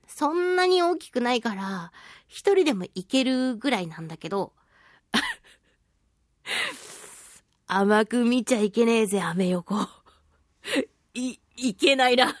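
A woman's high voice with very lively, swooping intonation, chatting casually as a radio host. She spins a personal anecdote playfully and poking fun at herself, in a close, dry recording. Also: no room sound. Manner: animated, chatty storyteller with playful self-mockery. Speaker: host of a talk radio show, voice actress.

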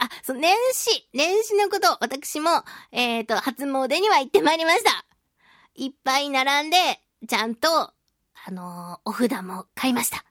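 A woman hosting a solo radio talk show, reading listener mail in a playful, giggly, animated manner. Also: sits high, measured pace, no echo, studio quality. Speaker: radio host, voice actress.

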